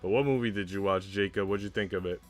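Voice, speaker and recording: A man's voice: low voice, fast. A young man streaming, chatting casually in a slangy, laid-back way. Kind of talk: casual livestream chat. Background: music.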